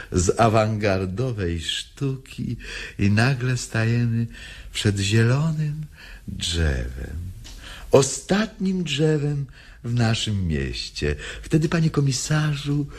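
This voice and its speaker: A defiant old woman declaims a radio play monologue in a husky voice. The delivery is theatrical and slow, with pitch that swings widely between hushed confiding and outcry. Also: exaggerated voice. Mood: confiding, wistful.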